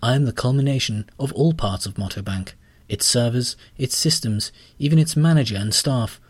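Man voicing a pompous AI bank mind, slightly higher and breathy, slow and grand. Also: dry recording, lightly acted. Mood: lofty. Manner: measured, lofty, pompous. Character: grandiose sentient bank computer, calm and self-important.

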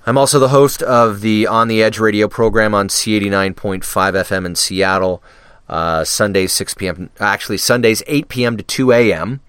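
Male podcast guest, very low and smooth, casual and rambling. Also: normal speed, a bit bright, dry recording, clean studio sound. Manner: rambling, many fillers and restarts. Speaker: podcast guest, radio DJ and actor. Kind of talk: casual interview chat.

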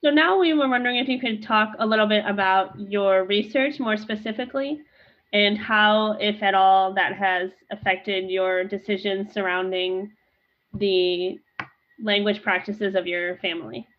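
A woman co-host of a podcast, asking the guest careful, unhurried questions over a video call. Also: medium pitch, fairly even intonation, lo-fi sound. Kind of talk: interview questions.

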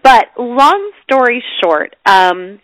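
A woman's voice: fairly high pitch, sing-song, takes it slowly, a bit bright, no echo, rough recording. A woman in her thirties with a stuffy cold, chatting as a guest in a podcast interview. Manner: chatty, laughs lightly. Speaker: guest chef and food writer. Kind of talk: casual interview.

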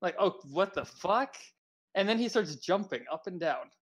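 A young man telling a story to friends on a podcast, rambling and animated, with lots of "like" and "um". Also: sits high, moderate pace. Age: young adult. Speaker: podcast co-host. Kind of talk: casual storytelling among friends.

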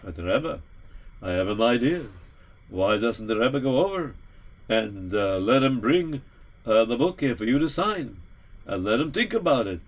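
A man voicing a humble follower who speaks low and hushed, pleading respectfully. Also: breathy edge, exaggerated voice. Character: humble devoted male follower, wheedling.

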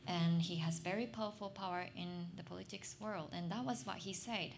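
A young adult Japanese woman giving a personal testimony in English on a festival stage, halting and searching for words, soft and earnest. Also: on the low side, creaky, slight background noise. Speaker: speaker at a literary festival.

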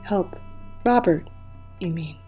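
An actress playing a grieving, fragile mother in an audio play, with halting, emotional delivery. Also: fairly low pitch, warm tone, faint music behind. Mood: anxious. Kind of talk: dramatic audio play acting.

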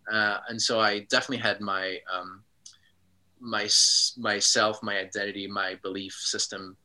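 A man from Switzerland, a guest in a casual interview, speaking reflectively with frequent pauses and ums. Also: lowish voice, flat delivery, bright, forceful tone, low-quality recording.

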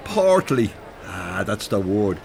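A man's voice: on the low side, big pitch swings, takes it slowly, vocal fry, a little mellow, exaggerated voice. An actor playing a chatty, nosy, thin-skinned older working-class Dublin man in a radio drama, rambling and familiar. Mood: musing, frank. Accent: Dublin Irish. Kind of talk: naturalistic radio drama acting. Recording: urban ambience, faint background noise. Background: shopping centre ambience.

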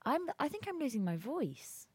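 A young woman co-hosting a podcast, chatty and melodramatic, telling stories quickly. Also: high voice, very animated, darkish tone, husky, no room sound. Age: young adult. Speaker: podcast co-host. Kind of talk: casual chat.

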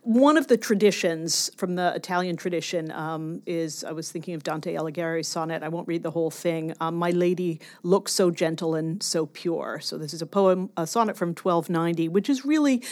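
A woman's voice: lowish voice, moderate pace, few pauses. A woman scholar being interviewed, explaining ideas in an academic but conversational and emphatic way.

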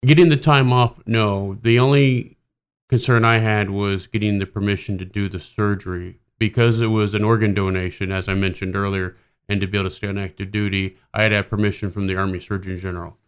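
A man's voice: on the low side, steady tone, a little fast, clear, resonant tone. A man in his forties, an army officer, answering interview questions in a direct, matter-of-fact way. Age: 40s.

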